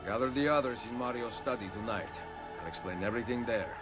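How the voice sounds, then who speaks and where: A smooth-talking Italian assassin of noble birth acted by a man speaks with assurance in a middle-pitched voice and an Italian accent. It is game cutscene dialogue, with music playing clearly behind him. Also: fast, close to an everyday voice. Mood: smooth, flirtatious. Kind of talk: video game cutscene acting.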